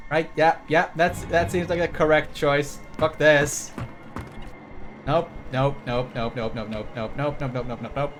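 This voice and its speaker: A man's voice: on the high side, fairly even intonation, a little slow. A man streaming a game, giving casual live commentary in a rambling, repetitive way. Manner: rambling, repetitive, sweary reactions. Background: game music.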